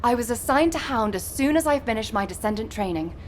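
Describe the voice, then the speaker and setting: A woman voicing a cheerful, talkative young female soldier in a video game, teasing and expressive. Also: on the high side, a little fast, slight background noise, close to an everyday voice. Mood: upbeat, chatty. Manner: chatty, playfully exasperated.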